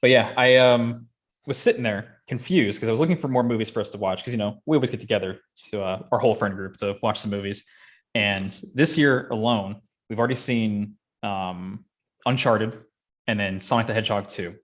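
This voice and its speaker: A man's voice: fairly low pitch, brisk. A young man chatting casually as a podcast host. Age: young adult. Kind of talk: casual chat.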